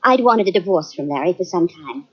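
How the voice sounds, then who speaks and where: A halting, defensive widow. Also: female voice, fairly low pitch, lively intonation, slightly rough. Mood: tense. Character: tense wealthy widow under suspicion. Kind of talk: 1940s radio acting. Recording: dull lo-fi old radio recording.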